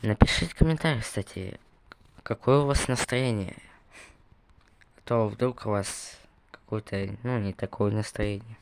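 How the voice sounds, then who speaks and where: A man's voice: on the low side, unhurried, creaky, noisy background. A young man casually commenting on his gameplay, rambling and correcting himself as he talks to viewers, recovering from a cough. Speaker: gaming video creator.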